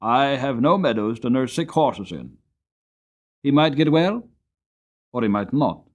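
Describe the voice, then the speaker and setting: A man's voice: mid-range voice, animated, unhurried, lo-fi sound, voice pushed up. A man voicing a callous, money-minded stable owner in a storybook, with a harsh and dismissive manner. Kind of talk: dramatic storybook reading.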